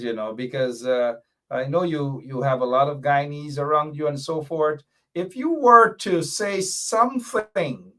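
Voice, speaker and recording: A man's voice: fairly high pitch, fairly bright tone. A male talk show host wrapping up with a guest, loose and filler-heavy, calling on viewers by name. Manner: rambling, lots of fillers, calls out viewers by name. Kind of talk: casual talk show hosting.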